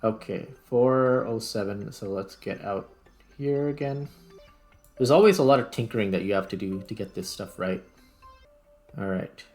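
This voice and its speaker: A man's voice: on the low side, normal speed, darkish tone, soft background music. A man doing casual gameplay commentary as a host, thinking aloud and muttering his way through tasks. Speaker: host, gaming video creator.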